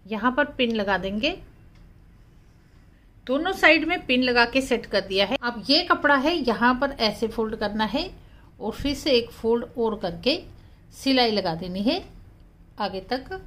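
The high voice of a woman you would hear over a home sewing tutorial, plainly walking viewers through each step. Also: a bit bright. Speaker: craft tutorial host. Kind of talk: step-by-step instructional narration.

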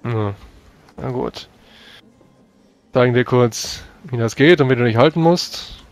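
A man's voice: mid-range voice, measured pace, dry recording. A man who plays a tabletop roleplaying game, talking casually through his plans.

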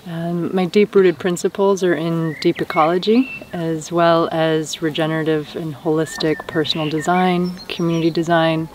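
A young woman from the United States, an herbalist and permaculture teacher, speaking in an earnest, passionate and measured way outdoors in a rural field. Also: sits low, flat delivery. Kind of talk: casual interview talk. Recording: outdoor countryside noise. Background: birds in the countryside.

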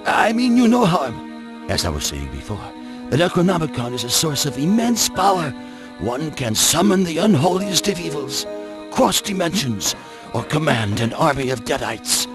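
An old exiled scholar from a video game. His speech is formal and portentous, rising and plunging dramatically over clearly audible music. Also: on the high side, sing-song, normal speed. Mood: ominous, instructive. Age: older. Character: grave, urgent old exiled scholar warning of evil.

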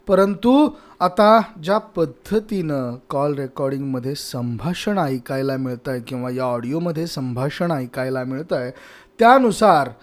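A man hosting a news channel, explaining in an emphatic, repetitive way. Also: fairly high pitch. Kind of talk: news commentary to camera.

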